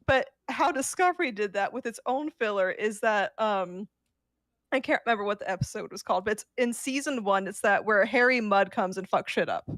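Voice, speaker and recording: A woman's voice: mid-range voice, brisk, a bit bright, dry recording. A woman co-hosting a podcast, telling things in a very informal, sweary, conversational way. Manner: informal, profanity-peppered storytelling. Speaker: podcast co-host. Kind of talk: casual podcast discussion.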